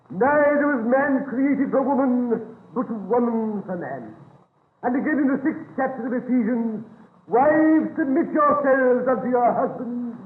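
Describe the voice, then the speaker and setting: A man's voice: very high voice, roomy sound. An actor playing a pompous, indignant clergyman declaiming at a convention in a 1930s radio drama. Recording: tinny, noisy 1930s radio recording.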